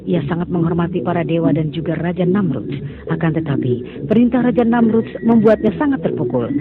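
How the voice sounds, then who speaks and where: A man narrating a cartoon story in a steady, dramatic storytelling manner. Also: a little high, brisk, over a noisy crowd. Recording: tinny phone-like sound under music.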